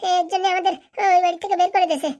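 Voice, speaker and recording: Belligerent young cartoon villager played by a woman: high voice, scolding, breakneck pace. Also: flat delivery, racing, dark tone. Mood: furious. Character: hot-headed, abusive young village man. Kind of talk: comic cartoon dialogue.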